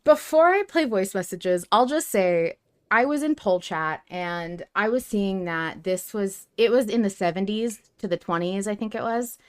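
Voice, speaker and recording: A woman's voice: medium pitch, normal speed. A woman hosting a casual fan discussion podcast, talking in a chatty, opinionated way. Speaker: podcast host.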